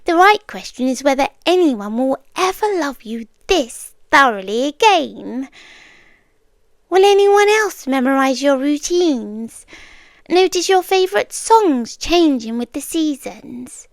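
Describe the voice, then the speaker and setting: A British woman acting in an ASMR roleplay as an obsessive, calmly possessive girlfriend, speaking intimately and often slipping into whispers. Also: high voice, slow pace, no room sound, full character voice. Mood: devoted, intense. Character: obsessive, soft-spoken yandere girlfriend, calmly possessive young woman captor.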